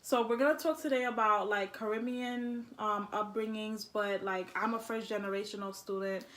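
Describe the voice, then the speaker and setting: Young woman vlogging, real candid and slangy, bright voice, roomy echo. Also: medium pitch, steady tone, normal speed. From born in Haiti, immigrated to the United States at four. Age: early 20s. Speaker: vlog host, college student. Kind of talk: casual personal chat. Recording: echoey room.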